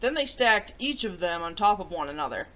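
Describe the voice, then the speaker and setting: A woman hosting a children's story show, reading aloud in an animated, expressive way. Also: fairly low pitch, brisk, a little hiss or hum.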